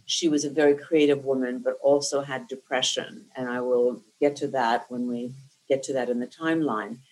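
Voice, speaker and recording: A woman's voice: a bass voice, steady tone, normal speed, a little mellow, low-quality recording. A woman in her sixties from New Jersey, whose first language was Lithuanian, tells her life story in an interview in an articulate and reflective way. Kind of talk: oral history interview.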